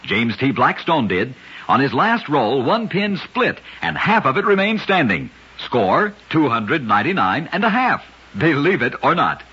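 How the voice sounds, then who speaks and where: A man with a husky, raspy voice narrating odd facts as a scripted vintage radio host, with dramatic, emphatic delivery. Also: on the high side, big pitch swings, a little slow, pushed and bright. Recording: dull lo-fi vintage radio recording.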